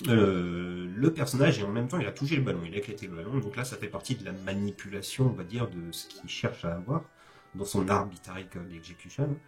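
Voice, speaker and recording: A man's voice: sits low, animated, a little fast. A man giving a casual, explanatory speedrun commentary, hesitating and correcting himself with informal asides. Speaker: video game commentator, host. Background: background music.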